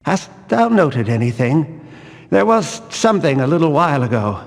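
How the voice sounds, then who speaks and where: An actor playing a suspicious temple guard in a fantasy game, probing in archaic phrases. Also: male voice, fairly high pitch, big pitch swings, normal speed, gravelly, full character voice. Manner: archaic, questioning speech. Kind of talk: scripted fantasy video game barks. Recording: long reverberant hall echo.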